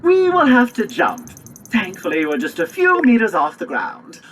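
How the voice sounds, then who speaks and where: A woman's voice: medium pitch, wildly swooping pitch, moderate pace, slight background noise. A woman voicing a chatty, self-assured robot guide in a video game cutscene, theatrical and formal in delivery. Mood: confident.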